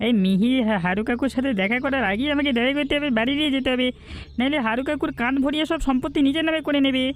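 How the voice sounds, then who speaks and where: A man playing a greedy, wheedling village man in a cartoon folktale, excitable and prone to repeating his words. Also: extremely high pitch, rapid-fire, soft background music. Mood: agitated, excited.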